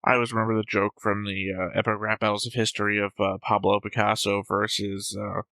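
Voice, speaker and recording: A man's voice: fairly low pitch, little pitch movement, brisk, bright, forceful tone, dry recording. A man chatting casually as a host of a reaction show. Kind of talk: casual chat.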